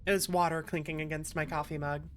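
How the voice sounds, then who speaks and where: Lower-voiced woman streaming a video game. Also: fairly even intonation, fast talker, fairly bright tone, a little hiss or hum. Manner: informal, sweary. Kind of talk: casual reacting commentary while gaming. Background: game music.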